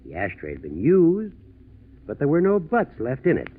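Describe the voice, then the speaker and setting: An American actor playing a methodical, shrewd district attorney in a 1940s radio drama, probing in a deceptively casual way, on a tinny old recording. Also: male voice, fairly high pitch, huge pitch swings, moderate pace, breathy edge, exaggerated voice. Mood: shrewd, pointed.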